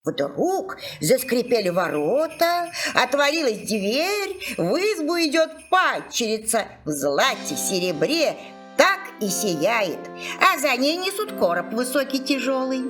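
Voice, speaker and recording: A woman storyteller giving an expressive scripted fairy-tale narration. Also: high voice, unhurried. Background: music.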